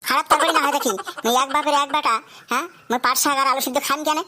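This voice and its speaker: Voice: high-pitched, fairly even intonation, racing, sharp tone. A performer voicing a spoiled, stubborn teenage son in a comedy cartoon, whining and arguing in a North Bengal accent. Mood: defiant. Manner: whining, argumentative.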